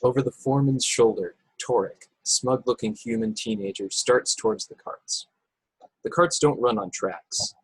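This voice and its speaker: A man's voice: mid-range voice, moderate pace, breathy edge, no room sound, low-quality recording. A man reading screenplay action and scene headings as the narrator of an informal table read. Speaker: narrator reading stage directions.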